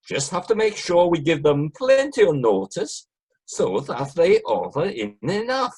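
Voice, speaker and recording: A man's voice: a little high, very animated, a little slow, darkish tone, breathy edge, exaggerated voice. A man playing a practical, reassuring club organiser in an amateur radio play. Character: practical, reassuring male society member organising supper.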